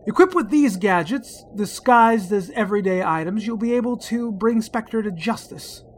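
An actor playing a fussy, protective gadget inventor in a spy spoof, earnest and theatrical. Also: male voice, high voice, animated, normal speed, slight background noise. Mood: earnest briefing.

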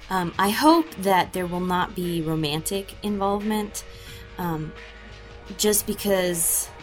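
A woman with a slightly low voice talks through her thoughts on the character she plays, circling back with fillers and restarting her sentences. Faint music sits under her. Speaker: voice actor for an audio drama. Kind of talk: interview answers.

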